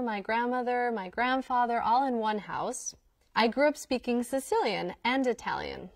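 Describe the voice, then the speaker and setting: A woman's voice: mid-range voice, dry recording, clean studio sound, distant mic. A woman, a folk musician telling young listeners about her family and music in an enthusiastic storytelling manner. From Queens, New York. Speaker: folk musician presenting to children. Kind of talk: scripted educational talk to young listeners. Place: indoor studio with wooden wall backdrop.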